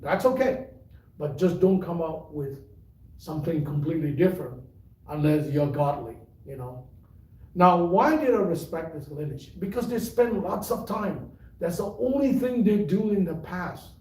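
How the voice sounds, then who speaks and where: A tai chi teacher with a mixed Malaysian and British accent, giving an unhurried, rambling livestream talk full of fillers. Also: male voice, on the high side, animated, dark tone. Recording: echoey room, voice from far off.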